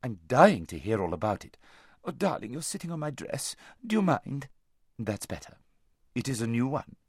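A man's voice: medium pitch, sing-song, moderate pace, darkish tone, breathy edge, no echo, clean studio sound. A man voicing a vain, careless young noblewoman in a languid, gushing, affected society drawl for a storybook. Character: vain, lazy-voiced, giggly young noblewoman, loud and careless. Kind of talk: audiobook character voice.

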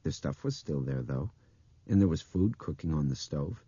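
Audiobook youth, played by a male narrator: earnest, hesitant, full of wonder, pitch rising and falling widely. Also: animated, normal speed, mellow, breathy edge, dry recording, dull audio, exaggerated voice. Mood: slow wonder. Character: earnest, thoughtful young man piecing together a mystery.

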